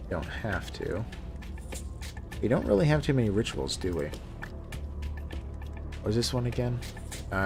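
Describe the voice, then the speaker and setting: Relaxed low-voiced man streaming a game. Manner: relaxed, soothing, musing with frequent restarts. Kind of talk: casual live gameplay commentary. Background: game music.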